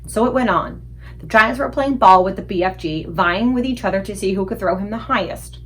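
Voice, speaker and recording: Female reader for a children's book, mid-pitched, expressive scripted storytelling. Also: brisk. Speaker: read-aloud book reader.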